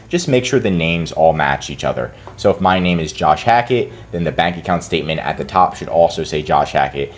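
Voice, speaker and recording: Low-voiced man walking through a tutorial. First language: English. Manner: casual, step-by-step instructing. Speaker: consultant and channel host. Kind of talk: screen-recorded tutorial walkthrough.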